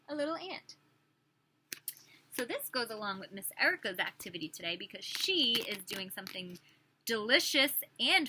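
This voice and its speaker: A woman's voice: mid-range voice, sharp tone, slight background noise, rough recording. A woman preschool teacher reading a picture book aloud expressively, with questions and chatty asides for small children.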